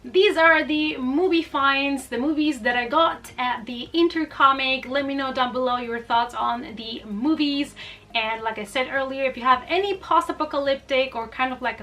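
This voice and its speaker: A woman's voice: high voice, fairly bright tone, far from the mic. A woman talking casually and enthusiastically to viewers as a movie review vlogger, in a chatty, informal way. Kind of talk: casual chatty vlog, showing a haul.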